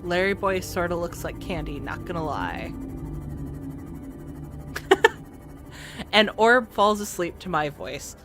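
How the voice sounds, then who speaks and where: A woman's voice: on the low side, very animated, slow, long pauses. A woman streaming a game who chats to her viewers and thinks aloud as she plays. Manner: chatty, talks to chat and to herself. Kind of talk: casual live game commentary. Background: game music.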